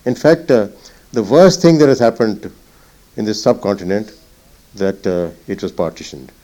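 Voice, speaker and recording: Older man, soft and dark-toned. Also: lowish voice, very animated. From Punjab. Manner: reflective, halting with many fillers. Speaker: retired naval officer. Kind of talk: oral history interview.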